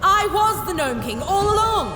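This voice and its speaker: A woman's voice: extremely high pitch, big pitch swings, moderate pace, roomy sound, in a large hall, over music. A woman playing a menacing, grandiose villain general in an audio drama, drawing out her words with theatrical emphasis. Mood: gloating.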